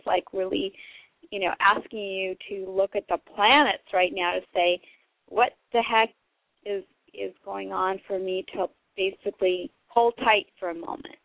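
A woman calling in to a radio program speaks in a middle register and explains her worries in halting phrases, full of "um" and "you know". Her voice comes over a dry, tinny phone line. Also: mid-range voice, fairly even intonation, dry recording. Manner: hesitant, many "um"s and "you know"s. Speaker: caller. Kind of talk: phone call to a radio show.

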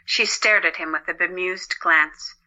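Woman narrator with a sharp, bright voice. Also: lowish voice, fairly even intonation, measured pace, low-quality recording. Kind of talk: scripted dramatic story narration. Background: music.

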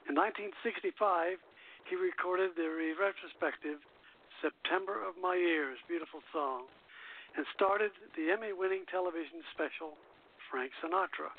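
A man hosting a radio show, reading scripted narration aloud in a slightly halting way. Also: sits high. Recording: tinny, phone-like online radio audio.

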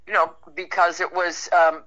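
A woman with a low, very bright and forceful voice chats as an interview guest. She is wry and anecdotal, feeling her way into each story, over dull lo-fi call audio. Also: level tone, moderate pace. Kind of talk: casual conversational chat.

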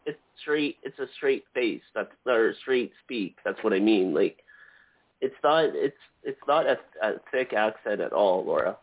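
A Canadian man chatting on a call-in show in a relaxed, joking way. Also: lowish voice, measured pace. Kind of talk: casual call-in show chat. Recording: tinny phone line.

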